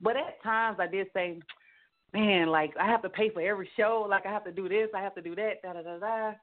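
A woman's voice: mid-range voice, steady tone, fairly quick. A woman musician as an interview guest, upbeat and chatty, repeating herself and using many fillers. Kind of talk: casual radio interview. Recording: tinny phone line.

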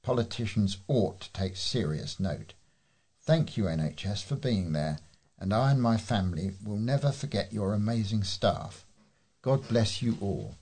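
A man's voice: on the low side, lively intonation, unhurried, darkish tone, breathy edge. A British man reading readers' letters aloud as a volunteer newsreader.

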